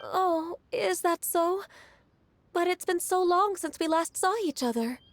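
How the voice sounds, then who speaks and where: A woman voicing a polite, soft-spoken young half-divine disciple in a video game. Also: very high-pitched, expressive, a little slow, dry recording, full character voice.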